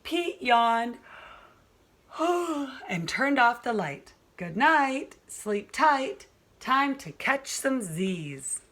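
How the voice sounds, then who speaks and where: A woman teacher reading a children's storybook aloud in a deliberate, sing-song way for young listeners. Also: a little high, sing-song, fairly bright tone.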